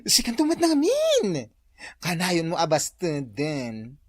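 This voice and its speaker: A woman's voice: on the low side, wildly swooping pitch, slow pace, darkish tone, dry recording, full character voice. A woman playing a chatty, teasing, matchmaking friend in an Ilocano comedy radio drama, dramatic and with an Ilocano accent. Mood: teasing, excited.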